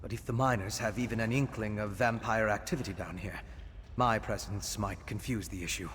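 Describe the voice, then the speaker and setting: A man's voice: on the low side, fairly even intonation, a bit bright, lightly acted. Man acting careful scholar in cavernous reverb. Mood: thoughtful. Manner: measured, articulate, careful. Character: cautious, scholarly gentleman adventurer, polite and thoughtful. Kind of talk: video game voice acting. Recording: long cavernous reverb, faint background noise.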